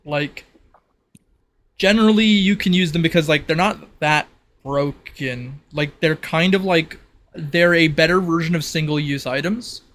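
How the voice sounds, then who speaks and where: A man's voice: a little high, measured pace, fairly bright tone. A man acting as game master, casually explaining the rules of a tabletop roleplaying game.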